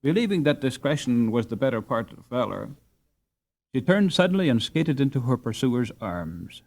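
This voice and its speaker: A man reading a story aloud as an audiobook narrator, in an expressive, dramatic storytelling manner. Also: mid-range voice, normal speed, vocal fry.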